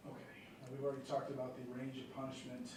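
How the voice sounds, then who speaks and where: A man's voice: medium pitch, completely flat delivery, fast. A man explaining trial rules to a jury panel in a conversational way, often checking in with "okay?" and "right?". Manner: conversational, many fillers and check-ins like "okay?". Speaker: prosecutor questioning jury panel. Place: large courtroom. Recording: echoey courtroom picked up from far off, light noise.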